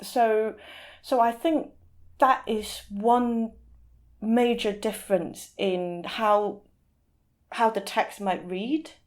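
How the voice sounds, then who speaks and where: A woman's voice: fairly high pitch, steady tone, very slow. Searching, thoughtful woman in conversation. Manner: hesitant, searching for words, often rephrasing. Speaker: podcast guest, literary translator. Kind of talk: casual interview discussion.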